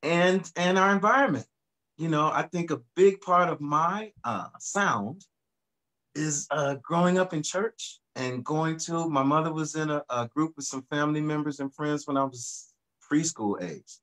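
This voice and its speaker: A middle-aged man, a singer guest, talking in a relaxed, reflective way about his background on a video call from his home studio. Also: fairly high pitch, unhurried. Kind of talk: casual video-call interview.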